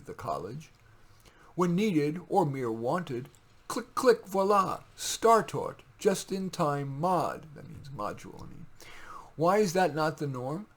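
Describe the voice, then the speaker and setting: A man with a husky voice reading his own poems in a deliberate, wry way, with informal asides. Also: fairly high pitch.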